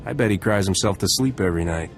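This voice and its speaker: A cocky starship captain in a sci-fi game. He is sardonic, low-pitched and quick-spoken, with faint music behind. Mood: wry. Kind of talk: video game voice acting.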